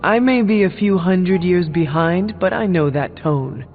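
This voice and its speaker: A man playing a composed, formal warrior hero from centuries past in a video game, measured and understated. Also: high-pitched, narrow, tinny audio, faint music behind. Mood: calm, reserved. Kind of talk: video game dialogue acting.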